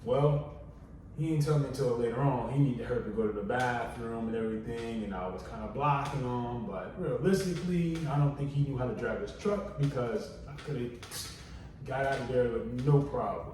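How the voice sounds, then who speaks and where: A soft-spoken man in his thirties with a deep voice, chatting casually on a podcast in a relaxed, drawling way with many fillers. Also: moderate pace, crowd noise. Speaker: podcast co-host. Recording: echoey room, distant mic, faint noise.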